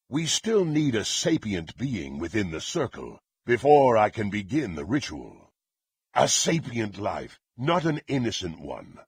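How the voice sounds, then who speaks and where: Husky menacing half-orc warrior from a fantasy game, bloodthirsty, slow delivery with big swings in pitch. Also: male voice, a bit bright, no echo, heavily acted. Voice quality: gruff, husky. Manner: menacing, imperious, theatrical declamation. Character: ruthless, murderous half-orc blackguard warrior, bloodthirsty and power-hungry. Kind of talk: fantasy video game voice acting.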